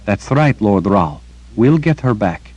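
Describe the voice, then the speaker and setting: A man's voice: lowish voice, big pitch swings, takes it slowly, a little mellow, slightly rough, no room sound, lo-fi sound, heavily acted. A man voicing a young woman warrior, blunt and loyal, with a wry manner.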